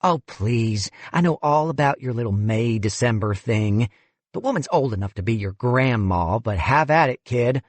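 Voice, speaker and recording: A man's voice: mid-range voice, animated, moderate pace, dry recording, voice pushed up, close to an everyday voice. A man voicing a curt, sneering fundraising chief woman. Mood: curt irritable. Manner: blunt, sneering.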